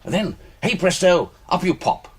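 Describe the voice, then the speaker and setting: A pompous old British colonel for radio drama. His voice is husky and sweeps widely up and down as he needles his guests in clipped, old-fashioned phrases. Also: high-pitched, very animated, moderate pace, heavily acted. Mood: hearty, theatrical. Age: older.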